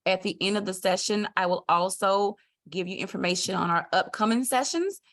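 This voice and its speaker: A woman hosts an online business session. She makes slow, careful announcements and sometimes restarts a sentence. She speaks into a video call mic at a middling pitch. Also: a bit bright. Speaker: business manager and session host. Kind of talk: introducing a webinar.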